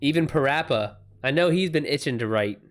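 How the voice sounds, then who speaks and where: A man hosting a livestream, chatting casually with viewers in a halting way as he thinks aloud. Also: fairly high pitch, expressive. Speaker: livestream host and writer.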